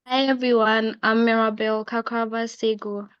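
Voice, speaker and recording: A woman with a Ghanaian accent, a fourth-year student, giving a brief and quiet self-introduction. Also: fairly high pitch, little pitch movement, normal speed, smooth, no room sound, muffled recording. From Ghana, Central Region.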